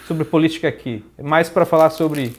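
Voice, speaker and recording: A man's voice: on the high side, normal speed, close to an everyday voice. A man playing a boastful fake politician. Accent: American English. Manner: smug, brags, crude innuendo. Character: boastful fake Trump, later a scheming rival gringo. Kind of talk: comedy sketch interview.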